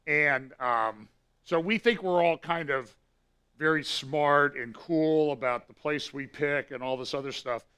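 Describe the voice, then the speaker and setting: A hoarse man with a raspy voice, a pastor giving a relaxed, conversational talk to his congregation with frequent fillers and restarts. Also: fairly high pitch, unhurried. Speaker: pastor giving weekly prophecy update. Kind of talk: church talk.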